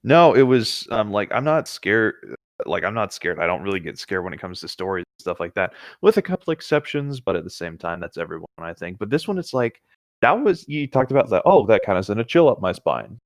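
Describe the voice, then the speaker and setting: A man's voice: on the low side, very animated, fairly quick, dry recording, studio quality. A man co-hosting a horror story show, reading aloud and chatting in an informal, conversational way. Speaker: co-host reading internet horror stories. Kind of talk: casual banter and story reading.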